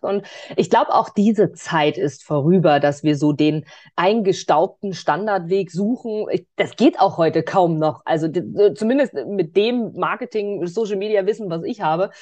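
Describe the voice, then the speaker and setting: Woman doing a podcast interview, chatty and encouraging, really dry sound, normal mid pitch. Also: normal speed, darkish tone, dry recording. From Berlin, Germany. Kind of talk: conversational interview.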